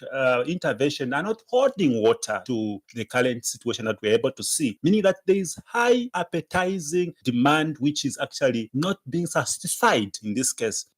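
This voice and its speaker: A man's voice: mid-range voice, no echo. Zambian guy economist commenting off the cuff, very up and down intonation, dry audio. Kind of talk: unscripted expert commentary.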